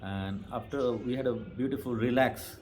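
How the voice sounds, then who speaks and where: Indian driver guy, mellow voice, matter-of-fact, bit of background noise. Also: medium pitch, fairly even intonation, moderate pace. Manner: plain, matter-of-fact recounting of a route. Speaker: tour driver. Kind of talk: casual interview.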